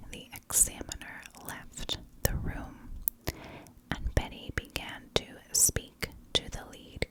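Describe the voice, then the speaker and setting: A woman narrating a story as an ASMR host, whispering in short, deliberate phrases. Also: low-quality recording.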